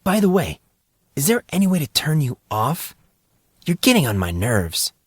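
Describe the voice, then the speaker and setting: A man's voice: fairly high pitch, wildly swooping pitch, moderate pace, husky, dry recording, voice pushed up, heavily acted. A man voicing an irritable, self-critical fourteen-year-old boy with a sarcastic way of talking in an audio story. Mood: irritated. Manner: quiet, muttering sarcasm.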